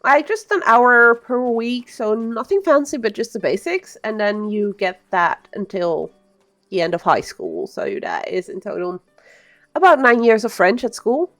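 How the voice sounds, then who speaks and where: A woman's voice: on the high side, sing-song, a little slow, fairly bright tone, soft background music. A woman from the part of Belgium, chatting casually as a game streamer and rambling through explanations. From Belgium, Flemish-speaking part. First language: Dutch (Flemish). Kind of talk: casual live-stream commentary.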